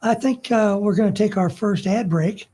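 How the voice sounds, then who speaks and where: A hoarse man co-hosting a live talk radio show, halting and joking about his voice. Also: high-pitched, dark tone.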